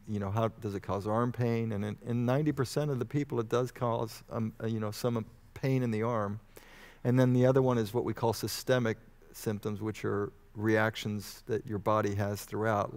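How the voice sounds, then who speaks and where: A man in his fifties from Texas answering as a medical expert in an informal interview, speaking in a measured, hesitant, explanatory way. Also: fairly low pitch, darkish tone. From Houston, Texas, United States. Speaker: medical expert guest, vaccine researcher. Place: on stage in a church auditorium. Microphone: headset mic.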